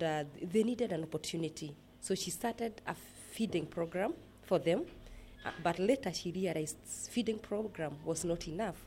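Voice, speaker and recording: Kenyan woman interviewee, lowish voice, measured and earnest, faint background noise. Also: darkish tone. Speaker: interviewee, project manager at a rehabilitation center. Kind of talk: interview answers, explanatory.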